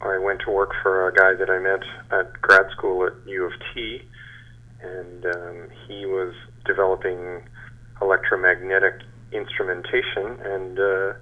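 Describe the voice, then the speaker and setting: A man in his sixties with a deep voice, heard through a dull, band-limited recording. He answers interview questions conversationally, in a halting, measured way. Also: flat delivery. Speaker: geophysicist, interview guest.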